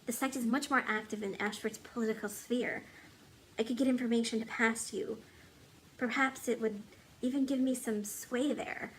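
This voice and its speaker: An earnest, wise and loyal woman of a storybook kingdom, voiced by a female reader. She speaks in measured phrases at a raised pitch, with faint noise behind her. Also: steady tone, fairly bright tone, close to an everyday voice, low-quality recording. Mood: grave, informative. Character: loyal, determined woman, wise old friend of a king. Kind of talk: storybook character dialogue.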